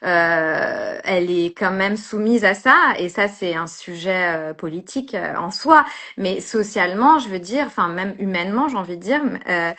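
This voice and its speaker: A woman's voice: fairly low pitch, normal speed, few pauses, fairly bright tone. Hesitant French woman photographer. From France. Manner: hesitant, many fillers and restarts. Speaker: street photographer, guest. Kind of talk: casual interview chat.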